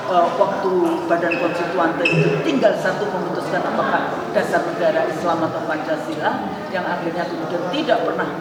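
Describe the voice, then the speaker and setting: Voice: mid-range voice, fairly quick, very noisy. An interview guest giving political commentary in an emphatic way, asking rhetorical questions and answering them. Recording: echoey large hall, distant mic, loud crowd.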